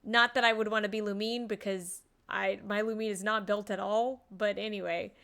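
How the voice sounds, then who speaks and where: A woman streaming a video game, reacting live in an excitable way, exclaiming and repeating herself. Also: medium pitch, normal speed, creaky. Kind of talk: live gameplay reaction chat. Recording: game music behind voice. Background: video game music.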